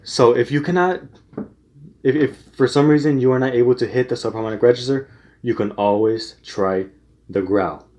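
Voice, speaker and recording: A man's voice: medium pitch, moderate pace, a little mellow, a little hiss or hum. A young man giving casual singing tips, rambling with many restarts and fillers. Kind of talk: casual spoken tutorial.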